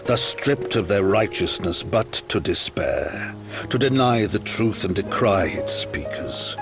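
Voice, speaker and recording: Grave fantasy game narrator, low voice, portentous and slow-burning, music underneath. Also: male voice, lively intonation. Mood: solemn, wistful. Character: solemn male chronicler narrating a fantasy epic. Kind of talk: scripted dramatic game narration.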